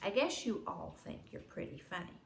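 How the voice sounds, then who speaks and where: A woman's voice: on the low side, sing-song, moderate pace, creaky, reverberant, slight background noise. A woman voicing a practical, no-nonsense mother from a storybook in a dry, firm manner. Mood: firm, exasperated.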